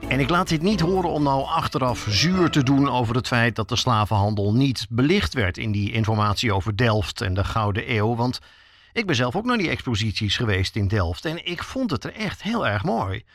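A man's voice: medium pitch, lively intonation, normal speed, a bit bright. A man with a Netherlands Dutch accent hosts a podcast, reading scripted narration as a reporter.

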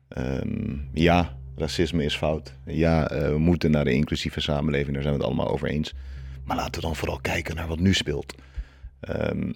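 A man answering spontaneously in an interview in a measured, hesitant way, pausing between phrases. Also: rumbling low, gravelly, dry recording, clean studio sound. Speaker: interviewee, foundation director.

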